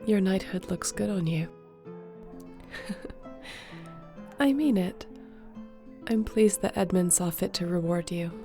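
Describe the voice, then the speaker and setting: A woman playing a gentle, capable sorceress and healer in a fantasy audio roleplay, speaking in a measured, reassuring way. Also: fairly low pitch, soft background music. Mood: warm, sincere.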